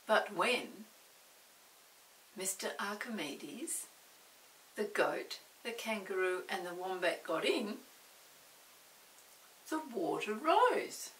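Woman in her 60s, children's storytime reader, gentle, slow, whispers at times, roomy room sound, faint hiss. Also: medium pitch, very animated, recorded from a distance. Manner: gentle, often whispering to a puppet. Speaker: storytime host reading to children. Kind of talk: children's picture-book reading. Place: indoor room with a storybook set.

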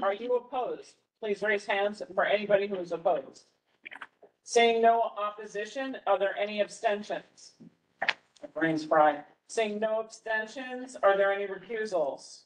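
A woman's voice: mid-range voice, moderate pace. A woman chairing a board meeting, moving through procedural votes. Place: hybrid community board meeting room. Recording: distant mic in meeting room.

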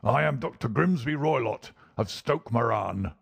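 A man's voice: medium pitch, expressive, measured pace, creaky, slightly rough, no echo, clean studio sound, exaggerated voice. A man voicing a huge, menacing old doctor, a furious bully who snarls threats and insults in a storybook reading. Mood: angry, demanding. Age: older.

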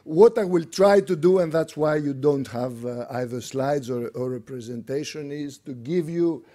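A middle-aged man with a Greek accent speaks from a podium in a conference room. His voice is warm and mellow, and he works through his points with frequent fillers and restarts. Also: a little high, normal speed. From Greece. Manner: hesitant, many fillers and restarts. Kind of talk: unscripted lecture.

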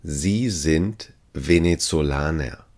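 A man's voice: very deep voice, slow pace, a little mellow. A man teaching language phrases, saying each one three times carefully and evenly. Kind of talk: slow repeated phrase drill.

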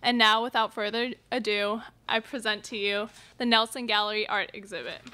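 A woman's voice: mid-range voice, steady tone, moderate pace, background noise, close to an everyday voice. A young woman playing a polite, gracious exhibition announcer, speaking formally in a student radio play. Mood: welcoming. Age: young adult. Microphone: stage microphone on stand.

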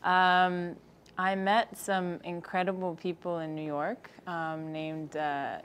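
Low-voiced woman filmmaker recalling her story. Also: slight background noise. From Egypt. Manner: thoughtful, slightly halting storytelling with restarts. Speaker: guest filmmaker. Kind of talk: interview answers. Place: studio set with screens behind.

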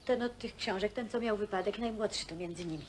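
An actress playing a bustling, bossy hostel supervisor, brisk and chiding, in naturalistic film style. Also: female voice, medium pitch, close to an everyday voice. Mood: matter-of-fact. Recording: noisy old film soundtrack.

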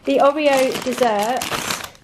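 A woman's voice: sits high, slow, noisy background. A middle-aged British woman chatting casually and with easy amusement, like a vlogger talking viewers through things at home. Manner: chatty, self-amused, laughs lightly often. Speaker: grocery haul vlogger. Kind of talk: casual chat to camera. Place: indoors at home, at a table of shopping. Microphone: handheld camera, speaker off screen.

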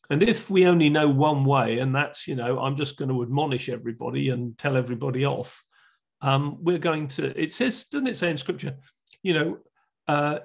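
A middle-aged man teaches earnestly as a church leader, his voice fairly high for a man and gravelly. The sound has a husky edge. He speaks through a wired earphone mic on a video call from a book-lined room at home. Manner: earnest, explanatory, gestures with both hands. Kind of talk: recorded teaching talk.

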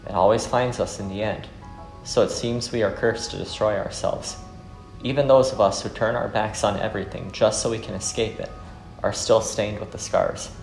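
A man playing a weary, brooding former soldier turned fisherman in a visual novel, speaking in a solemn, earnest, measured way. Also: fairly low pitch, fairly even intonation, gravelly. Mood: grave, brooding. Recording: echoey room under music.

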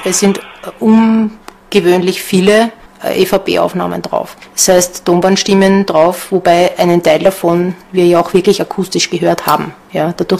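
A woman's voice: fairly low pitch, vocal fry, a little mellow, a little hiss or hum. A woman with an Austrian accent explaining things spontaneously in a documentary interview. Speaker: ghost hunter.

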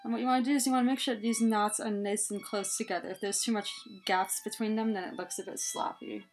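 A woman's voice: medium pitch, low-quality recording. A young woman talking casually and chattily through a hands-on tutorial, explaining step by step as its host. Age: young adult. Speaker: head scarf tutorial host. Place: small room indoors, plain wall.

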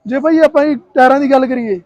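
A man's voice: very high-pitched, fast talker, a little mellow, no room sound. A man with a Punjabi accent hosting casually, asking a seller questions and haggling over prices. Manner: haggling, brief repeated acknowledgements. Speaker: video host interviewing a seller. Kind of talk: casual question-and-answer sales presentation.

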